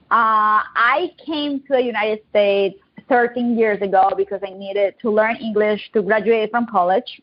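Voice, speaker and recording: A woman in her thirties from Colombia with a Colombian accent, telling her story as a podcast guest in a quick, chatty, upbeat way. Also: mid-range voice, fairly even intonation, muffled recording. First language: Spanish. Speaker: guest, digital marketing agency owner. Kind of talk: casual interview storytelling.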